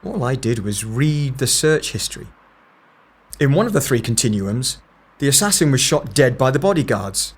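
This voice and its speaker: A man's voice: mid-range voice, big pitch swings, moderate pace, breathy edge. A man playing a serious, analytical time agent in an audio drama, terse, authoritative and matter-of-fact. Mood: explanatory.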